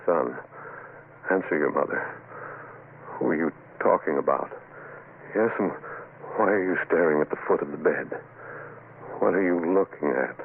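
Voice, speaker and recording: A gravelly, very deep, brusque husband. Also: male voice, normal speed, long pauses. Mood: baffled. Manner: dismissive, reassuring, uneasy. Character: skeptical husband and father, brusque but nervous. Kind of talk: 1970s radio suspense drama acting. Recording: tinny, noisy old radio recording.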